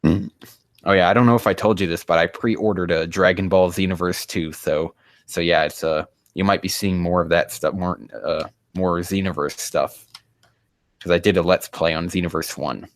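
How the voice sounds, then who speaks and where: A man with a low voice hosts a casual livestream, recorded dry and close with very little room sound. He rambles haltingly, often muttering to himself, and swears offhandedly as he goes. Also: fairly even intonation, dry recording. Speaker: livestream host.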